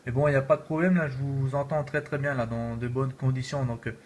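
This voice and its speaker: An informal man from France hosts a casual radio contact. He repeats himself in loose operator jargon at a middling pitch. A light noise sits behind his voice. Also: steady tone, fast, a little mellow. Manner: repetitive, filler-heavy, informal.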